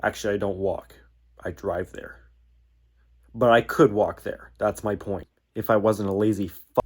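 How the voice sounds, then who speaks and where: A man's voice: lowish voice, steady tone, unhurried, husky. A man talking in a casual, rambling, self-deprecating way as he puzzles things out in a hobbyist vlog. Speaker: hobbyist video host.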